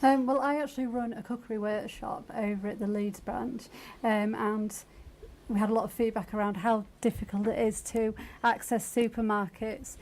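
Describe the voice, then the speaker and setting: A woman explaining things in a measured, tentative way as a guest in a radio interview. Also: a little high, steady tone, a little mellow. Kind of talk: conversational radio interview answers.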